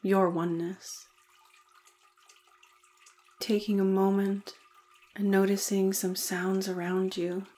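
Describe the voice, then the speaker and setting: A woman leading a guided meditation in a soothing, deliberate manner with gentle pauses. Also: medium pitch, little pitch movement.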